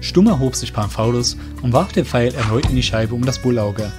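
A man's voice: mid-range voice, lively intonation, moderate pace. A man narrating a scripted fantasy story as the narrator of an audio drama. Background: soft music.

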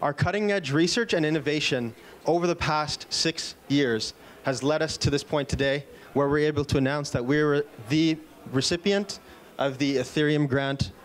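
A man in his thirties giving a product keynote at a conference, upbeat, with a few fillers and restarts, speaking into a handheld mic on an indoor stage. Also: on the high side. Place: conference stage in a large indoor event room. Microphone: handheld microphone.

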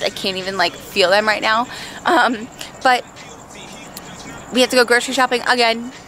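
A woman in her thirties chatting excitedly to a handheld camera, at a pool and then in a gym at night. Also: medium pitch, slight background noise. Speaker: bodybuilding vlogger. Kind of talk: casual vlog to camera. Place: poolside outdoors, then large gym at night. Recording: music playing behind.

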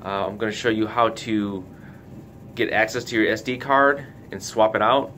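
Low-voiced man giving a casual tutorial. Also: steady tone, moderate pace, pushed and bright. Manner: instructional, step-by-step, cautioning. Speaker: tutorial host for a retailer. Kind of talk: casual hands-on product tutorial. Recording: noticeable background noise with low rumble.

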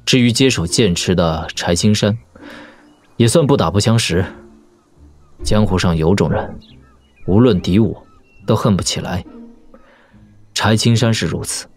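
Low-voiced, easygoing young wuxia prince. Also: male voice, unhurried, pauses often, darkish tone, soft background music. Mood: wistful, calm. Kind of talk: Chinese audio drama acting.

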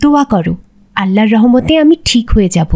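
A woman playing an ailing, devout mother with a weak, husky voice in a cartoon. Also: fairly high pitch, animated, fairly quick, warm tone. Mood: weak, resigned. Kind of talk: Islamic cartoon dub acting.

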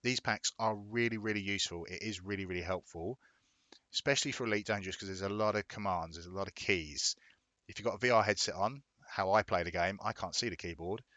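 A low-pitched man with an English accent talks through a tutorial in a chatty, informal way. His voice has a bright, forceful edge and is recorded close and dry, with words repeated for emphasis. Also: fast, dry recording. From England. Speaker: tutorial host. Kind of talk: casual explanatory software tutorial.

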